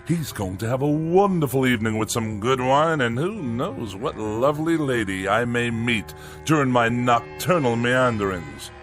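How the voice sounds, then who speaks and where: An actor playing a pompous, vain and irritable middle-aged bachelor with florid, theatrical diction in a radio-style drama. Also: male voice, mid-range voice, animated, moderate pace, fairly bright tone, soft background music, close to an everyday voice. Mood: scornful, self-satisfied.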